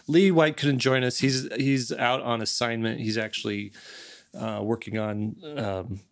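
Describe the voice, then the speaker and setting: A middle-aged man hosting a casual podcast, thinking aloud in a halting way, close to a desk microphone. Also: medium pitch, fairly even intonation. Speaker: podcast host. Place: home studio, on a video call. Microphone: large desk microphone, close.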